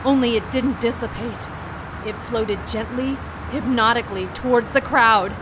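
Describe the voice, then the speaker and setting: An actress playing a haunted heroine, narrating an audio drama gravely and dramatically in the first person. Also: female voice, a little high, moderate pace, close to an everyday voice. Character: haunted woman narrator fighting mind control. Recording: noisy crowd and outdoor din behind.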